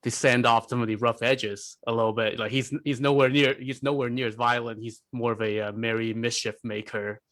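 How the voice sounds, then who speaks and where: A man speaks as a panelist in a casual podcast discussion. His voice sits at a middle pitch. He feels his way through sentences in stops and starts, leaning on "like" and "you know.". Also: fairly even intonation, fairly bright tone. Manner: halting, peppered with "like" and "you know".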